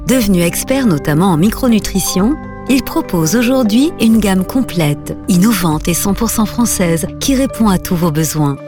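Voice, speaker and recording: A woman's voice: medium pitch, animated, moderate pace. A woman reading scripted promotional narration as an advertisement voice-over. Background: music bed.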